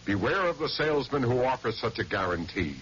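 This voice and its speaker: Bright, emphatic male radio announcer. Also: mid-range voice, sing-song, fairly quick, a little hiss or hum. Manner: clear, instructive, emphatic. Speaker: public service announcer. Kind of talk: scripted 1970s radio advertisement. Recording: dull old radio broadcast.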